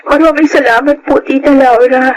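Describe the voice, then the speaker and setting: A woman's voice: high voice, steady tone, moderate pace, close to an everyday voice. A woman playing an earnest, pleading young office worker torn over her brother-in-law in a Tagalog radio drama. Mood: polite. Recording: noisy radio drama recording.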